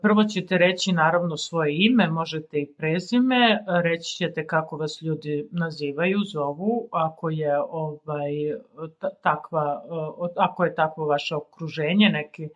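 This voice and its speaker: Female language teacher, Serbian native with Serbian accent, forties. Unhurried, deliberate explaining, slightly dull low-fidelity audio. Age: 40s. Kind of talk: informal video language lesson, explaining. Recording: dull lo-fi sound.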